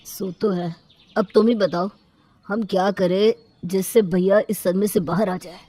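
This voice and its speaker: A woman voicing a concerned younger brother in a storybook tale, earnest and gently coaxing. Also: fairly even intonation, measured pace, slightly rough. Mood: worried, thoughtful. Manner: earnest, coaxing, low-set voice.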